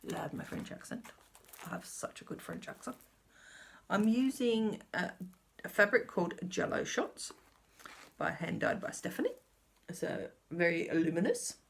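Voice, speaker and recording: A woman's voice: lowish voice, animated, a little slow, gravelly, pauses often. A middle-aged woman chatting casually through her cross-stitch update, rambling and talking to herself as she checks her notes. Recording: faint background noise.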